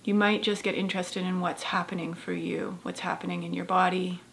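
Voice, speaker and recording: A woman therapist leading a guided meditation in a gentle, soft-spoken, unhurried way with long pauses. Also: lowish voice, little pitch movement, creaky, slight background noise.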